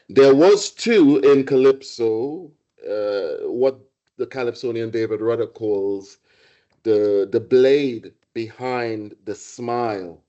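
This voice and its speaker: A middle-aged man from Trinidad, giving a reflective public lecture on poetry and music, pausing and restarting as he thinks. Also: medium pitch, mellow, lo-fi sound. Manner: reflective, halting with restarts and fillers. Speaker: guest lecturer, poet.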